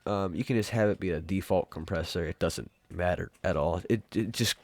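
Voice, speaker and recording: A young man hosting a casual software tutorial in an informal, rambling way. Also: low-pitched, brisk, dry recording. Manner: informal, rambling, mild swearing. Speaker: tutorial host. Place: room at home.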